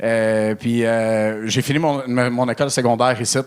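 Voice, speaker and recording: A man's voice: mid-range voice, fairly even intonation, normal speed, smooth. A young man from Brazil with a Portuguese accent, chatting casually and joking about himself as a comedy contestant. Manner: laughing, self-mocking. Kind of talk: casual chat.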